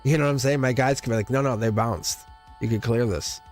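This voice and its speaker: A man's voice: medium pitch, brisk, soft background music. A man giving a live-streamed tarot reading, chatty and teasing, laughing often as he talks to his viewers. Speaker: tarot reader.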